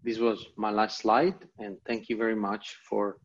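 A man's voice: lowish voice, level tone, normal speed. An Italian man with an Italian accent presenting a motivational online lecture, speaking in emphatic, repeated short phrases over a video call. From Italy. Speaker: guest presenter. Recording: dull, lo-fi video call audio.

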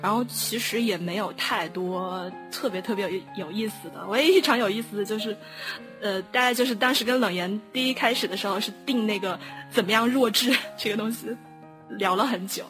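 A woman's voice: medium pitch, fairly quick, fairly bright tone. A woman chatting casually, rambling a little and correcting herself as she goes. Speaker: audio drama producer. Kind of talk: casual chat. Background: background music.

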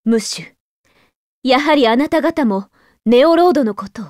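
A woman's voice: high voice, animated, moderate pace, darkish tone, no room sound, studio quality. A woman playing a serious, determined young noblewoman fighter in an anime game, speaking with polite, commanding composure. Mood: worried.

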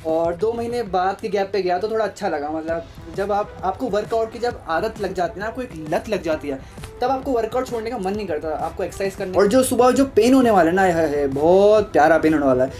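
A young man vlogs into a handheld phone with a casual, upbeat manner, addressing his viewers directly. His voice sits high for a man. Clear music plays behind him throughout. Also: fairly quick, a little mellow. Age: young adult. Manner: chatty, upbeat, talks straight to viewers. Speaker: vlogger. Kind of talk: casual vlog chat to camera. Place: home room, indoors. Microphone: phone held at arm's length.

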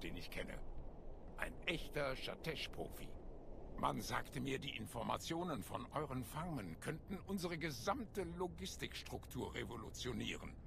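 Game military commander, alien, gravelly and resolute, solemn delivery over noisy ambience. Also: male voice, mid-range voice. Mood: businesslike, grateful. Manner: solemn, measured. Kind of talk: dramatic game cutscene acting. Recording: game ambience and noise behind voice.

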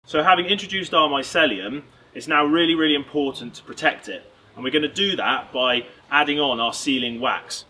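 Bright upbeat British man teaching. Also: a little high, fairly quick. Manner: upbeat, clear instructional delivery. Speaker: presenter. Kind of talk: step-by-step tutorial. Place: outdoors in a garden and woods.

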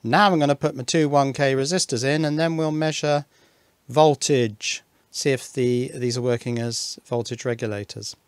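Man, electronics hobbyist, informal technical narration, step-by-step explaining, thinking aloud, medium pitch, dry recording. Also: fairly even intonation, moderate pace, clean tone, dry recording.

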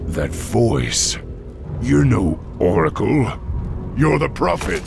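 A noble orc war chief for a fantasy game. His deep voice is heavily gravelled and slow, earnest and commanding, over music. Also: very animated, full character voice. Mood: alarmed. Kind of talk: video game voice acting.

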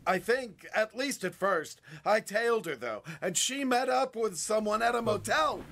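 High-pitched, shifty street informant. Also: male voice, lively intonation, normal speed, a crowd in the background. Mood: defensive, resentful. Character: shifty, resentful male street informant in 1940s noir. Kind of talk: noir radio drama acting.

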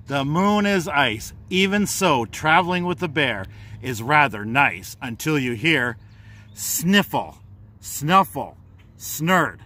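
A man reading a children's storybook aloud as narrator, with deliberate, dramatic phrasing. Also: a little high, big pitch swings, sharp tone. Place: outdoors on a park trail.

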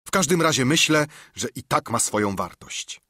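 A man voicing a grumbling, superstitious pirate crewman, loud and blustering. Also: mid-range voice, animated, normal speed, breathy edge, dry recording, clean studio sound, pitched above the performer's own voice.

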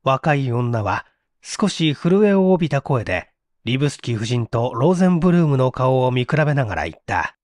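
A man reads mystery fiction as an audiobook narrator. His delivery is theatrical and suspenseful, with lively swings in pitch, and the recording is close and dry. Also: moderate pace, no room sound. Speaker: audiobook narrator, stage actor. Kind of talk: dramatic scripted mystery narration.

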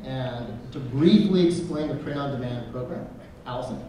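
Energetic young man hosting a panel. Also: medium pitch, a little hiss or hum. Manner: energetic, brisk, hands off to speakers. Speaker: panel host. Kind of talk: convention panel talk. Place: large convention hall. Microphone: table mic. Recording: echoey hall, taped from far off.

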